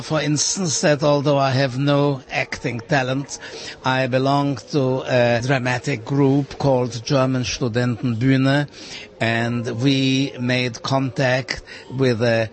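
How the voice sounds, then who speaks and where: A man in his eighties from Prague, slowly and thoughtfully recalling his youth in an interview in a café. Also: mid-range voice. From Czech Republic. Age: 80s. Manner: slow, thoughtful, measured recollection. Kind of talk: reminiscing interview.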